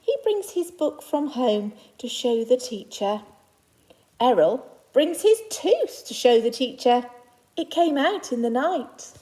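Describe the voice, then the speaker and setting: A woman's voice: sits high, big pitch swings, slow pace, warm tone, low-quality recording. A woman teacher reading a children's picture book aloud to young pupils, phrasing it simply and adding reassuring asides in her own words.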